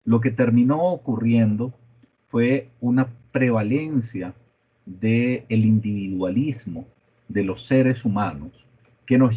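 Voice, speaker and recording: A man who is a native Spanish speaker giving a guest economics lecture in a measured way, with hesitant fillers. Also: medium pitch, husky, lo-fi sound. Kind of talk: lecture presentation.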